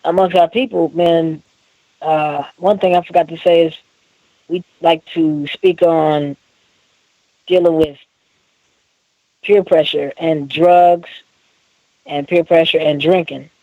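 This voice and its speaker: A man with a fairly high voice talks as an interview guest, informal and earnest. His phrasing is slangy and conversational, and the sound is dry and close, with little room on it. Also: fairly even intonation, slow, long pauses, no echo, lo-fi sound. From Oklahoma, United States. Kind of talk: casual interview talk.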